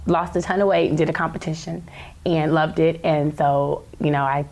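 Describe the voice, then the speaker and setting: A woman in her late thirties introduces herself hesitantly, pausing on plenty of fillers. Her voice is fairly low and a little gravelly. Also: little pitch movement, darkish tone. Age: 30s. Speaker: challenge participant.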